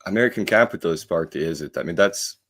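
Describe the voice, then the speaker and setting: A man from Croatia with a Croatian accent, talking casually about politics as a guest, often repeating his phrases haltingly. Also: deep voice, expressive, fairly bright tone. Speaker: guest commentator.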